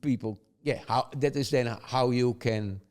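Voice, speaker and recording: A man's voice: mid-range voice, measured pace, a little mellow, smooth. An older man from the Netherlands with a Dutch accent, telling stories in casual chat, chuckling often and rambling with tag questions. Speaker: guest.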